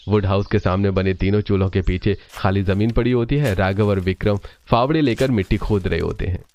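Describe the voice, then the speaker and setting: Steady low male story narrator. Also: brisk.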